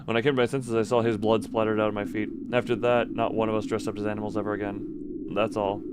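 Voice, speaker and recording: A man with a fairly low voice, streaming a game. He moves between casual commentary and reading text aloud, stumbling and correcting himself as he goes. There is clear noise behind him. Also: little pitch movement, fast talker.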